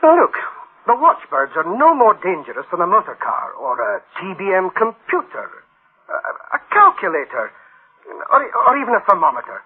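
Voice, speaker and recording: A man's voice: sits high, wildly swooping pitch, normal speed, breathy edge, narrow, tinny audio, heavily acted. An actor playing an eager, dismissive engineer in a radio drama, insistent and colloquial in his reassurances. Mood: insistent, reassuring. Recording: band-limited old radio drama sound.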